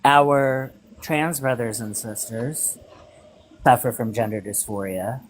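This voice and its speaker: A man hosting a casual live-stream chat, speaking in a halting way with many pauses and ums. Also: medium pitch, rough recording.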